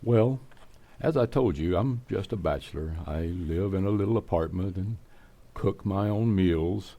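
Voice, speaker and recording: A man's voice: low-pitched, a little slow, dark tone. A man playing a shy, flustered, lonely bachelor salesman who stammers bashfully in old-time radio comedy. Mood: modest, bashful.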